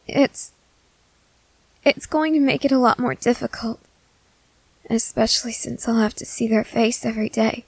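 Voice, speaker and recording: A woman voicing a gentle, nervous, newly turned young female vampire who speaks haltingly in an ASMR roleplay. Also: a little high, gravelly, dry recording, pitched above the performer's own voice, low-quality recording. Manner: hesitant, halting, soft-hearted. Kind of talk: scripted ASMR audio roleplay.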